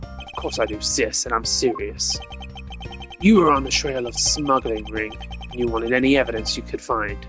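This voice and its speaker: A man's voice: medium pitch, moderate pace, music in the background, lightly acted. A man voicing a brash, informal, confident detective in a video game, taunting his rivals. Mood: accusing.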